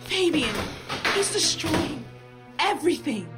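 A woman's voice: high-pitched, sing-song, slow pace, exaggerated voice. A woman acting a terrified, pleading character in danger in an audio horror drama, crying out in panic. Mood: frightened pleading. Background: loud dramatic music.

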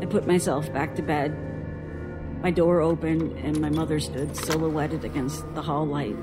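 An actress playing a bitter, guarded young woman, a traumatized survivor, in an audio drama, husky and sardonic. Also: sits low, fairly even intonation, normal speed, loud music behind the voice, natural voice. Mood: haunted, subdued. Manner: sardonic, defensive.

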